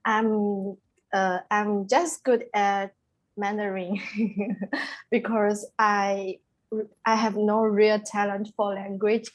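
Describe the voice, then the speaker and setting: A woman's voice: mid-range voice, little pitch movement, very slow, lo-fi sound. A woman giving a brief, modest self-introduction on a video call.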